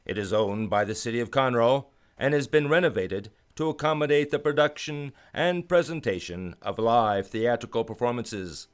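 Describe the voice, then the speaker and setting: A man's voice: mid-range voice, normal speed, hardly any pauses. A man hosting a radio show from a studio in a theatrical, old-time announcer style, with grand scripted narration.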